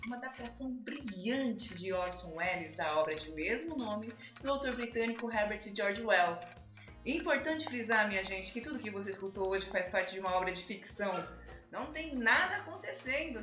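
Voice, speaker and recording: A Brazilian actress playing a polished, reassuring local radio announcer in an audio drama. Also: female voice, on the high side, lively intonation, moderate pace, close to an everyday voice. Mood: upbeat, reassuring. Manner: presentational, reassuring. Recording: roomy echo on the voice. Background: music.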